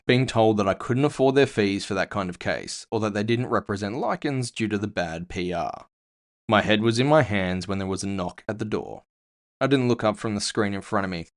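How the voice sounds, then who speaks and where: A man's voice: fairly low pitch, natural voice. A man playing a world-weary bounty hunter with magic powers, telling his story tersely in a scripted audio fiction. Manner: gritty, terse, first-person storytelling.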